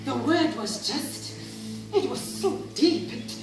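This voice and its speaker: A woman's voice: sits high, expressive, slow. A woman with a South African accent performing a dramatic monologue as a devout, troubled churchgoer, emphatic and theatrical, repeating phrases for effect. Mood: excited. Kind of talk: dramatic spoken-word monologue. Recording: very echoey room, distant mic, music behind.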